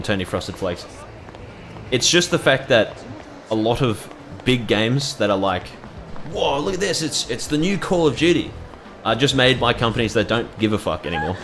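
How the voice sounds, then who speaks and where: A man's voice: on the low side, a little hiss or hum. A man streaming a game, ranting casually with swearing and frequent laughs. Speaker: gaming streamer. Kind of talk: gameplay commentary.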